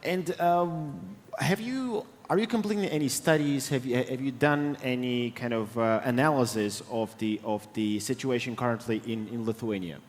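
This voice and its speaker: A man's voice: medium pitch, normal speed, hardly any pauses. A man moderating a panel on a hall stage through a headset mic, probing and rephrasing his questions. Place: large event hall stage. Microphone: headset microphone. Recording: reverberant hall sound.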